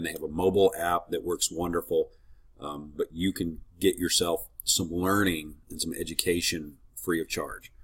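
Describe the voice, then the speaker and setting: A man's voice: deep voice, slightly rough. A man leading a casual Bible teaching, slightly congested and sniffling, in a friendly, conversational way with rambling asides. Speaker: ministry teacher.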